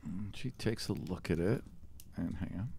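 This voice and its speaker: A man's voice: low voice, normal speed, creaky, dark tone, a little hiss or hum. A man narrating an improvised tabletop game as game master, in an easygoing, agreeable way.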